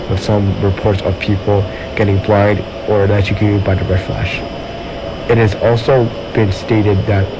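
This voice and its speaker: A man's voice: on the low side, lightly acted. Muffled, noisy broadcast; man as a grave emergency announcer, formal delivery for a disaster drama. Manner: formal, flat reading. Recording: dull lo-fi audio with loud noise.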